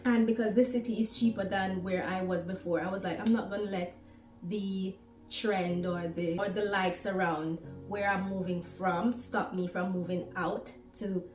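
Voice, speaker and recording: A woman's voice: mid-range voice, recorded from a distance. Jamaican woman in her twenties, roomy. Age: 20s. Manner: chatty, rambling, with restarts. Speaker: small YouTuber. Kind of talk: casual talk while cooking. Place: home kitchen. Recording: roomy, from off the mic, faint music.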